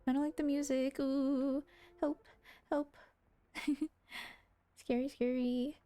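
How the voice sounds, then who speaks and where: A woman's voice: high voice, flat delivery, takes it slowly, mellow, dry recording. A woman livestreaming a visual novel, reading the story aloud and reacting with playful asides. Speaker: streamer voicing a visual novel. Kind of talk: livestream voice-through with casual reactions and dramatic reading.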